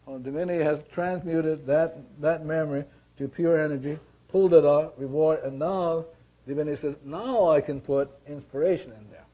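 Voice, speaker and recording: A man teaching a spiritual seminar in a deliberate, repetitive way, asking and answering his own questions. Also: fairly high pitch, dark tone. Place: seminar room with attendees. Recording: slightly dull lo-fi recording.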